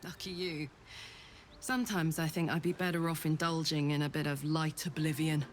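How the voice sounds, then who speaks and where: A woman's voice: sits low, fairly even intonation, normal speed, natural voice. A woman playing a brash, hearty tiefling warrior in a video game, with a blunt, sweary, exuberant manner. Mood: reflective. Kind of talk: video game character dialogue.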